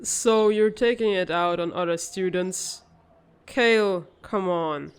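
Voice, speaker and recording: A woman voicing a wry, level-headed older sister in a comic dub, calmly teasing and gently scolding. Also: lowish voice, slow, clean tone. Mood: wry, stern. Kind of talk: animated comic-dub voice acting.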